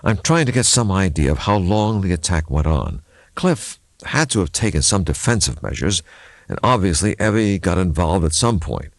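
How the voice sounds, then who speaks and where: A man voicing a grieving but controlled male doctor in an audiobook, restrained and deliberate. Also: low voice, sing-song, no echo, studio quality. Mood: pained, insistent. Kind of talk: audiobook character acting.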